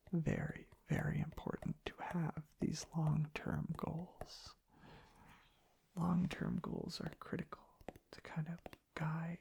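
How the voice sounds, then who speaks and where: An ASMR host speaking softly in a hushed, reflective, unhurried ramble. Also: mid-range voice, creaky. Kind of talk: soft-spoken ASMR ramble.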